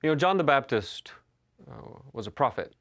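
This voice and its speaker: A man, telling stories in a relaxed, conversational sermon. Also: mid-range voice, moderate pace. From Western North Carolina. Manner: conversational storytelling with frequent uh and restarts. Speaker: pastor. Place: church sanctuary.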